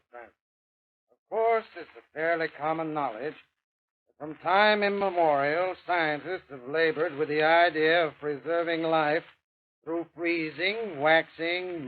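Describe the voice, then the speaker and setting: Man playing pompous professor, fairly high voice, formal lecturing delivery, thin vintage radio drama, faint noise. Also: a little slow, phone-quality sound, lightly acted. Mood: pedantic, authoritative. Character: scholarly male professor, pompous, formal, authoritative. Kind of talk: 1930s radio drama acting.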